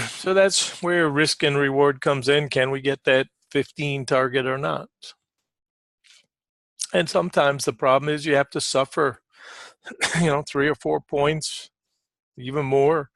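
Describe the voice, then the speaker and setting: Gravelly man giving informal trading commentary. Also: mid-range voice, steady tone, unhurried, fairly bright tone, no echo. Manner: frequent throat clearing. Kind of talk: informal live market commentary.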